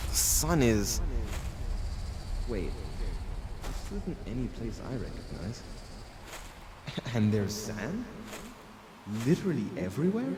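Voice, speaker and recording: An actor playing a hapless, confused man who mutters to himself with wry exasperation in an audio drama. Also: mid-range voice. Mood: alarmed, bewildered. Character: hapless, confused young man waking lost in a desert. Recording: roomy, reverberant, with clear noise.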